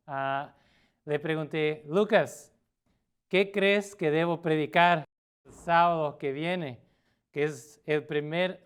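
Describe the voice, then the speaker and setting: A middle-aged man from Portugal, a native Portuguese speaker, preaching a sermon as a pastor with an earnest manner and frequent pauses. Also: on the high side, clean tone. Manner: earnest, with pauses and filler sounds.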